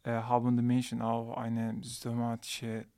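A man talks haltingly on a podcast, pausing on fillers and restarting words. His voice is mellow and smooth, the pace slow and the sound dry and close. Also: medium pitch, monotone, no room sound. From Turkey. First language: Turkish. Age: 20s. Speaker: guest, international student. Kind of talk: podcast interview.

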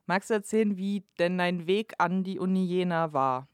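A woman hosting a university podcast, reading a scripted intro and asking friendly, measured questions. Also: on the low side, smooth, dry recording, clean studio sound. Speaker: podcast host. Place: small studio.

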